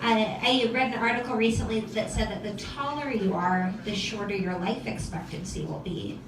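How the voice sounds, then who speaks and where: A young woman with a lisp performing a stand-up comedy set, wry and self-deprecating, with halting restarts. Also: mid-range voice. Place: comedy club stage with a laughing audience. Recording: echoey room, distant mic, loud crowd.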